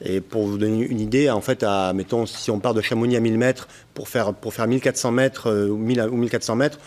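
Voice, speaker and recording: A man's voice: lowish voice, fairly even intonation, fast, darkish tone. A man guest on a talk show, telling about his climb in a hesitant way with many restarts. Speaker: guest, patient who climbed a summit. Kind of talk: casual talk show conversation.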